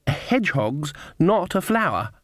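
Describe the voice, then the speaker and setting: Man acting little storybook girl, high-pitched, innocent, sharp, sceptical, childish challenge, dry close sound. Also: big pitch swings, moderate pace, no echo. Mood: sceptical, taunting. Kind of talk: audiobook character voice.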